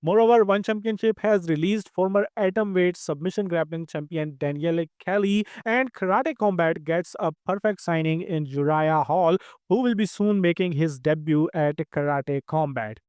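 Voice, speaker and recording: A man with an Indian accent hosting a channel, reading combat sports news in a steady scripted way. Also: fairly high pitch, smooth, no echo, clean studio sound. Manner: quick, steady news read. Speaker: news host.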